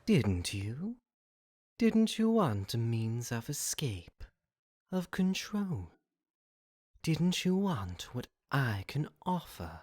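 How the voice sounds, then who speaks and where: Woman voicing a very deep, slow, tempting devil. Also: big pitch swings, a little mellow, husky, exaggerated voice. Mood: taunting. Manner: drawn-out, commanding, tempting delivery. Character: seductive, taunting devil granting dark bargains. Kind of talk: theatrical audio drama acting. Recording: hum and noise, home recording.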